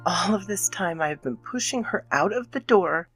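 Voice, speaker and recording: A woman playing an anxious, guilt-ridden mother in a dramatic scripted horror narration, theatrical and dipping into breathy near-whispers. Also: on the low side, lively intonation, moderate pace, a bit bright, soft background music. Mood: confessional, uneasy.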